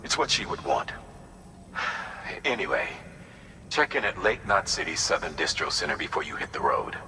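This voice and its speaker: An actor playing a steady, authoritative male commander giving a measured mission briefing in a video game. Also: rumbling low, full character voice. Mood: sober, hopeful. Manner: measured, instructive. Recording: long hollow reverb, some noise.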